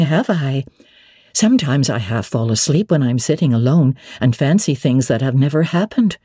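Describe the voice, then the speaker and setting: A woman's voice: low-pitched, dark tone, husky, dry recording, clean studio sound. A woman voicing an elderly widowed housekeeper who is bewildered and cautious, speaking in a hesitant, fretful way in a classic novel reading. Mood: astonished, bewildered. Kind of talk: dramatic audiobook reading of classic literature.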